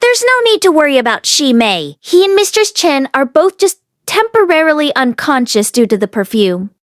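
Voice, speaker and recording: A woman reading aloud the part of a stern, calm male cultivation master in an audiobook, terse and commanding. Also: sits high, normal speed, dry recording, studio quality, voice pushed up. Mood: reassuring.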